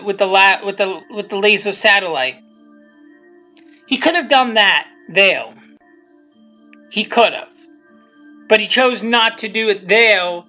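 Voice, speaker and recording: A woman giving casual, unscripted video commentary, rambling and haltingly restarting her sentences as she goes. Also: mid-range voice, pushed and bright. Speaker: creator of a fan abridged series giving commentary. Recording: dull lo-fi sound with music underneath. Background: music from the video being commented on.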